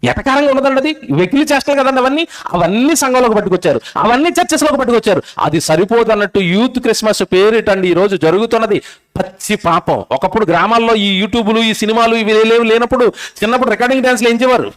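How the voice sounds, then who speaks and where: A man preaching an impassioned sermon to a gathering, scolding and exhorting listeners with rhetorical questions. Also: very high voice.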